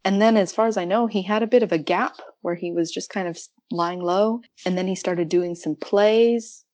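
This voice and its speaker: A woman giving thoughtful, measured and articulate answers as an academic guest in a conversational interview. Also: fairly low pitch, darkish tone, dry recording. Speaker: podcast guest, academic researcher.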